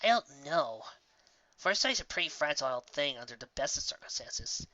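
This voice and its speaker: A woman's voice: deep voice, sing-song, brisk, pushed and bright, dry recording, muffled recording, full character voice. A woman voicing a vain, casual tomboy pegasus prankster in a storybook. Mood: uneasy.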